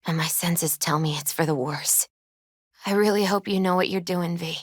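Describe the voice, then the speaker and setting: A gentle young woman who reads tarot as a game actress plays her, speaking in a lowish, soft-spoken voice. She is measured and earnest, with a mystical, foreboding weight to her delivery. The sound is very dry, as in game dialogue. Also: no room sound, close to an everyday voice. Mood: concerned, hurt. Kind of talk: video game character dialogue.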